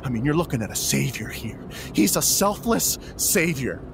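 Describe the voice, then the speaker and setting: An actor playing a loyal, excitable cop sidekick in a comedic audio drama, rambling earnestly in slangy language. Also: male voice, mid-range voice, normal speed, gravelly, background noise. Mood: earnestly defensive.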